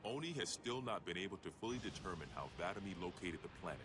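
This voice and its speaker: An actor playing a grave military intelligence narrator, reading a formal, measured war briefing for a game cutscene. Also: male voice, sits low, lively intonation, noisy background. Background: music.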